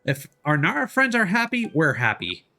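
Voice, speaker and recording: A man's voice: a little high, huge pitch swings, moderate pace, creaky, sharp tone, full character voice. A man voicing a small, naive and polite forest spirit with simple, earnest phrasing, reading game dialogue.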